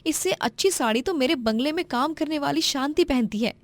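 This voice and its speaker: A woman's voice: high voice, lively intonation, fairly quick, pitched above the performer's own voice. A woman voicing a spoiled, rude young bride in a cartoon, sneering and scolding. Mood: scornful. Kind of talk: cartoon voice acting.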